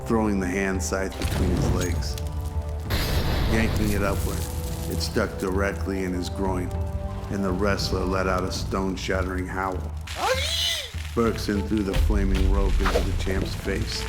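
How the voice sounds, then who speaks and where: Low, even-voiced male drama narrator. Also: a little slow. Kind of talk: scripted action narration, radio drama. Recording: loud music behind the voice.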